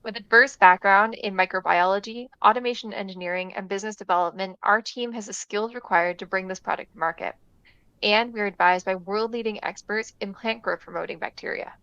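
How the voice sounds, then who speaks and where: A woman with a smooth, clear voice delivers a steady, rehearsed startup pitch. The sound is dry and a little dull, like a remote recording. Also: fairly quick, no room sound. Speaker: startup CEO presenting. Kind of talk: scripted pitch read-out.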